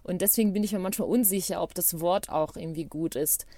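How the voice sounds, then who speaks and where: A woman's voice: fairly low pitch, fast, dark tone, no room sound. A woman co-hosting a podcast, speaking German that is not her first language, enthusiastic and reflective in casual chat. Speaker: podcast co-host.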